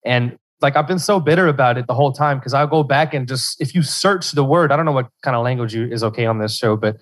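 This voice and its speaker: A man's voice: mid-range voice, fast. Young louisiana guy on a podcast, rambles, keeps restarting, medium voice. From South Central Louisiana. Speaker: podcast guest. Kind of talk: casual interview chat.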